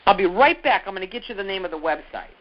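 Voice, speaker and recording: Animated man teaching, in dull lo-fi audio. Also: high voice, fast. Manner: conversational, explanatory, step-by-step, repeats key points. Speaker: sales training presenter. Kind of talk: informal instructional talk.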